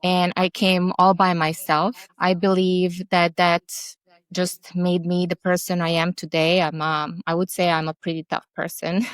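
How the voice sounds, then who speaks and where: Guest, woman, 40s, slow and halting, smooth lowish voice, dry close sound. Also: flat delivery, dry recording. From Serbia. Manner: halting, searching for words with restarts. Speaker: podcast guest. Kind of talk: interview conversation.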